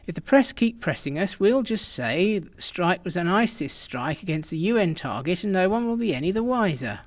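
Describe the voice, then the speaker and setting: Guy playing a hard-nosed spy boss in a radio drama, slightly high male voice, curt, cocky, brushes everything off. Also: sing-song, normal speed. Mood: dismissive, assured. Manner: brusque, assured, stonewalling. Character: defiant, ruthless male intelligence chief, coolly dismissive.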